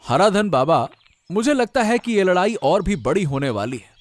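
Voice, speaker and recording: A man plays a determined village leader in a cartoon story, speaking high and with a very lively, swinging pitch. His delivery is earnest and rousing, and faint music plays beneath. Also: moderate pace, no room sound.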